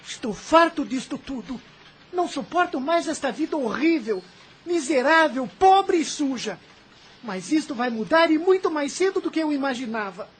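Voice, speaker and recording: A woman acts as a poor young boy in an audio drama, with a very high, youthful voice. He talks back bluntly, an indignant dreamer who resents his lot. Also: expressive, normal speed. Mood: bitter, fed up.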